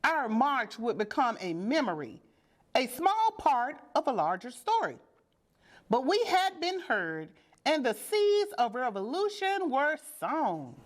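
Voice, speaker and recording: Lady doing a read-aloud for kids, super animated, swoops up and down, takes her time. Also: high voice. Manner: deliberate, dramatic phrasing. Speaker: storybook reader. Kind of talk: expressive children's read-aloud narration.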